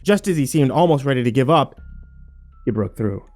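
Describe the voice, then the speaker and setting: Male actor as grim uneasy survivor, mellow dark tone, measured horror storytelling, soft music behind. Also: mid-range voice, animated, natural voice. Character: grim, fearful male survivor narrating his own ordeal. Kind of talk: scripted first-person horror narration.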